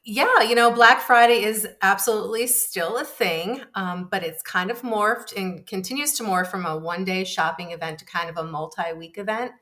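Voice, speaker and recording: A woman's voice: medium pitch, moderate pace, few pauses, sharp tone. A woman columnist giving conversational, explanatory answers as an interview guest.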